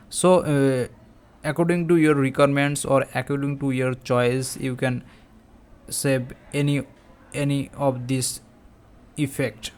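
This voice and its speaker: A man presenting a step-by-step app tutorial in halting, repetitive phrases. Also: medium pitch.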